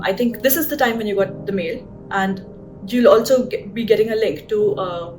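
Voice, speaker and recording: A young woman with an Indian accent gives friendly career tips in a relaxed, conversational vlog, often checking in with "right?" Her voice sits in a middle range and sounds a little far from the microphone. Plucked guitar music plays clearly underneath. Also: mid-range voice. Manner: chatty, conversational, with frequent fillers and "right?" tag questions. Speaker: doctor giving career advice. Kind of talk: casual advice vlog. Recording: voice a bit distant from the mic, guitar music behind.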